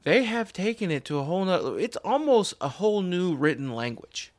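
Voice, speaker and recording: A man's voice: sits high, very animated, brisk, dry recording. A man in his forties hosting a podcast in casual chat, rambling with restarts and wry asides. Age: 40s. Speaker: podcast host.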